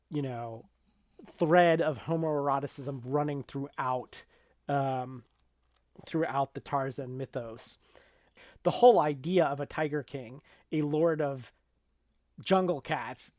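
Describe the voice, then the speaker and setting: A man co-hosting a casual film discussion podcast, explaining things in a halting way. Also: medium pitch, a little mellow, no room sound, studio quality. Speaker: podcast co-host.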